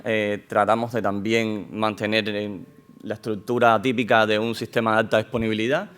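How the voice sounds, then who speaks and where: A man with a foreign accent giving a measured technical talk at a conference, pausing often with fillers and restarting his sentences. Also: lowish voice, a bit bright. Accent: non-Spanish accent in Spanish. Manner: measured, with frequent hesitations and restarts. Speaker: conference speaker. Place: conference hall.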